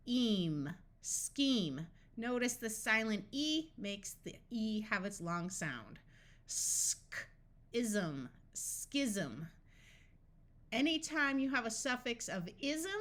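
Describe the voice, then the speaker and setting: A woman reading tutor delivers a scripted phonics lesson at a very slow pace. Her pitch sits in the middle for a woman. She speaks deliberately, breaking words into their sounds piece by piece. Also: a bit bright.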